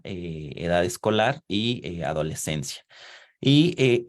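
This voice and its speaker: A man with a notably low, deep voice presents his research at an online seminar. He speaks haltingly, often pausing on filler sounds and restarting his phrases. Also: a little mellow. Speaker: conference presenter.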